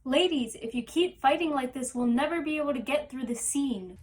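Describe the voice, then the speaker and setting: Exasperated stage director played by a woman, high voice, commanding, 1940s radio play. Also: fairly quick, close to an everyday voice.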